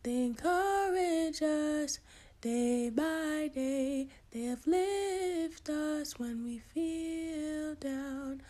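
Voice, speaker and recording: A woman sings a gentle devotional song in a high voice. The phrases are drawn out very slowly, with faint music around them. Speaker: singer.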